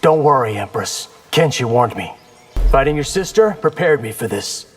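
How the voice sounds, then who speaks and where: An actor voicing a confident young warrior in a fighting video game, cocky, wry and determined. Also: male voice, medium pitch, animated, moderate pace, slightly rough, big-room sound, soft background music. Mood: defiant. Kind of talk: video game character acting.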